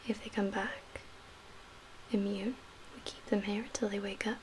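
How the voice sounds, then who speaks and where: A woman speaking in a hushed, breathy whisper with measured pauses, performing an ASMR roleplay as a calm, guarded survivor-camp medical official. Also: mid-range voice, rough recording. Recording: audible background hiss and ambience.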